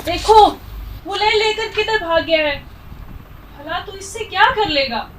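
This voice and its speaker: A woman's voice: extremely high pitch, measured pace, slight background noise. A woman playing an anxious, loving mother in a melodramatic radio drama, pleading and fretful. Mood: alarmed, worried.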